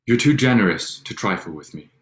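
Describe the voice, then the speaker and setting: A reserved Regency gentleman suitor acted by a man, with a deep, low voice. He is formal and proud, shyly earnest underneath, and a little halting as he reads the period romance. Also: expressive, natural voice. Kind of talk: staged reading of a period drama.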